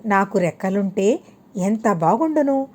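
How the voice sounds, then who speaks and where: A woman's voice: medium pitch, expressive, unhurried, a little mellow, voice pushed down. A woman voicing a friendly but wistful, envious donkey in a children's storybook reading.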